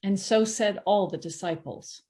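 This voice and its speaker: A woman reading the narration of a gospel passage in a church passion play, measured and even. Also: mid-range voice. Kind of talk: scripture narration. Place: church sanctuary.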